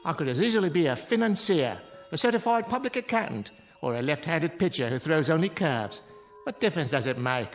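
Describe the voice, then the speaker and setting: Casting: world-weary mocking clown for radio play; grand, wordy, lightish pitch, very lively swings. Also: male voice, fairly quick, soft background music. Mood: taunting. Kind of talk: radio drama acting.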